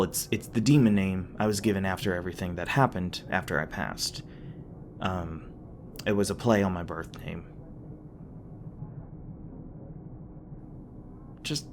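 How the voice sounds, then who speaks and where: A man's voice: sits low, darkish tone, a little hiss or hum. A man playing a flustered, tender demon boyfriend in an intimate ASMR roleplay, speaking hesitantly with stammers, bashful and a little defensive. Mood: embarrassed. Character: flustered, tender young male demon boyfriend, secretly human-born.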